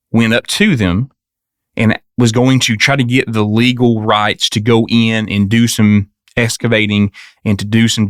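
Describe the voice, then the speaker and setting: Low-voiced man telling a rambling story. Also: a bit bright, no echo, studio quality. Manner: rambling, restarts, stammers. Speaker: podcast guest. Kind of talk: casual storytelling.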